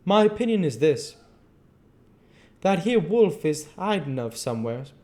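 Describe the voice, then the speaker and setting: A man performs an old keeper from a classic storybook, his voice pitched well up. He rambles on in homely dialect, opinionated and emphatic, with loose rustic grammar. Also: big pitch swings, measured pace, a little mellow. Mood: folksy, opinionated. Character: talkative old Cockney zookeeper, folksy and opinionated. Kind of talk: audiobook character voice.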